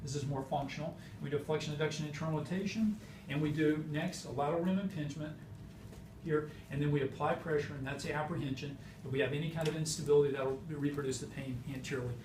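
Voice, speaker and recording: Male physician instructor, halting technical delivery, slightly high voice, clear background noise in a reverberant room. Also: steady tone. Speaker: physician demonstrating hip exam. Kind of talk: clinical teaching demonstration. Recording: roomy, distant mic, noisy background.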